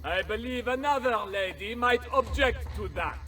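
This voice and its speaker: An actor playing a smug, sneering French baron, a villainous commander in a video game, with a French accent and a condescending manner. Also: male voice, very high voice, normal speed, sharp tone, distant mic, faint music behind. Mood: smug, taunting. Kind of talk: dramatic video game acting.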